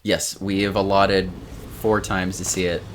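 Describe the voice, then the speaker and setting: A young man co-hosting a podcast in casual chat, rambling and animated, laughing often. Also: sits low, a little slow, a little hiss or hum. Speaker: podcast co-host.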